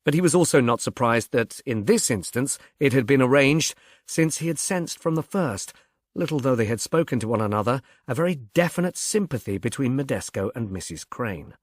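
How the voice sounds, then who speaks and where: A man's voice: mid-range voice, animated, normal speed, no room sound, clean studio sound. A man reading a detective novel aloud as a scripted audiobook narrator.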